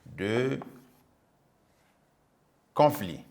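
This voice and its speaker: Male teacher, slow dictation pace, widely swinging intonation, didactic and repetitive. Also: mid-range voice, expressive, vocal fry, a little mellow. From Burkina Faso. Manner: didactic, repeats phrases, frequent check-in tags. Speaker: middle school history teacher.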